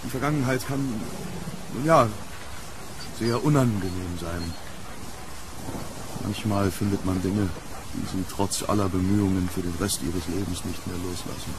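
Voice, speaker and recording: Haunted gentleman archaeologist, lowish voice, polite and brooding, horror radio play with loud rainy street ambience. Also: moderate pace, pauses often, noisy background. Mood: brooding warning. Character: troubled, courteous gentleman archaeologist haunted by his past. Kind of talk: audio drama acting.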